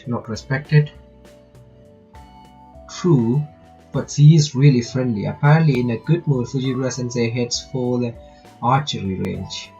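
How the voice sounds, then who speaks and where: A man giving casual playthrough commentary and reading game text aloud, halting and often repeating his words. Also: medium pitch, warm tone, lo-fi sound. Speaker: gaming reaction host.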